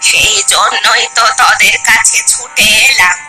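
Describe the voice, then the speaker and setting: A woman playing an aggrieved old village granny, speaking plaintively in an animated story. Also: mid-range voice, a little slow, faint music behind. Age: older. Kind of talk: Bengali animated story dialogue.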